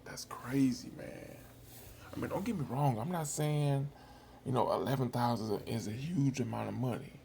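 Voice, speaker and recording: A man's voice: medium pitch, moderate pace, gravelly, warm tone, slight background noise. A man giving casual commentary to his viewers, musing aloud and repeating himself for emphasis. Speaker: video host. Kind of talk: casual chat commentary over old footage.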